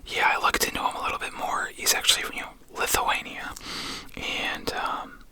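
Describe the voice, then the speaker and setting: An ASMR host speaks entirely in a breathy, unvoiced whisper with a bright, hissy edge. The delivery is casual and conversational, halting now and then like unscripted chat to viewers. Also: no room sound. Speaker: video host.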